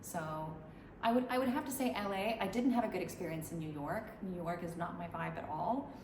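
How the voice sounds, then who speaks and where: A woman's voice: fairly low pitch, a little fast. A woman in a casual chat, giving long rambling answers with many restarts. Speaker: musician interviewee. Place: room in Dubai. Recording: echoey room with background noise.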